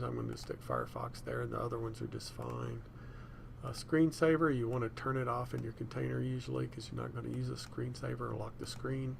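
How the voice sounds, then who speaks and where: A man presenting a software tutorial in a casual, unscripted way, thinking aloud as he goes. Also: mid-range voice, vocal fry, slight background noise. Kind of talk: casual screencast demonstration.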